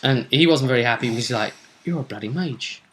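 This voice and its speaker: A man's voice: mid-range voice, quick pace. A man giving a casual, conversational recap of a tabletop role-playing game as its host, often trailing off mid-sentence.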